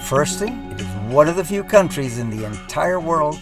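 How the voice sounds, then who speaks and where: Older man narrating over loud music. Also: fairly high pitch, very animated. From Costa Rica. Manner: measured, deliberate, stretches key words. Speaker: documentary narrator. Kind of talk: scripted documentary narration. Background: loud music bed.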